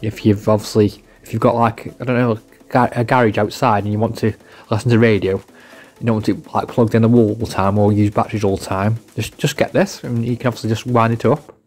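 A man's voice: on the low side, fairly even intonation, brisk, a little mellow, faint music behind. A man giving a casual, informal product demonstration, rambling and repeating himself as he goes. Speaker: product reviewer.

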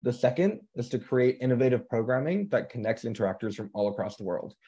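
A man's voice: mid-range voice, animated, quick pace, warm tone. A young man chairing a council, moderating a live video call panel in an upbeat, encouraging way. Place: home room. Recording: slightly muffled video call audio.

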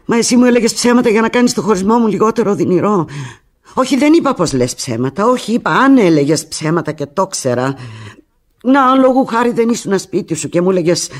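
An actress playing an anguished, lovelorn woman pleading with her departing lover in a halting, volatile radio theatre monologue. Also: medium pitch, expressive, close to an everyday voice. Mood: wounded, tender.

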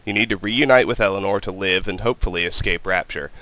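A man hosting a let's play, calmly talking through the game and reading its text aloud, his voice a little weak from a cold. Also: sits low, fast, rough recording. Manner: calm, methodical, reads in-game text aloud. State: has a cold, voice slightly weak. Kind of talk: casual gameplay commentary. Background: game music.

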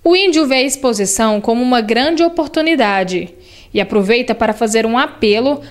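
Brisk woman narrating a news report. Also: a little high, expressive.

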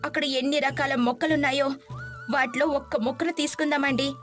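A woman voicing an eager, delighted young city-bred wife in an animated moral story, with music behind her. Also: high-pitched, a little fast. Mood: delighted, curious.

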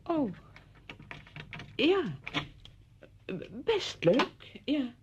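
A woman's voice: sits high, very animated, drawn-out words, dull audio, very noisy, exaggerated voice. An actress playing a chatty, apologetic married woman visiting a friend in a radio drama, effusive, rambling and flustered.

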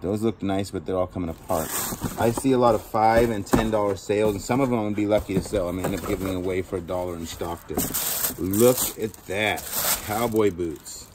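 A man's voice: lowish voice, fairly quick. A man chatting casually to viewers while unboxing shoes outdoors, filming first-person. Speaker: storage auction vlogger. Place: outdoors by a cardboard box. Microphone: handheld camera, first-person view. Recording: loud outdoor background noise.

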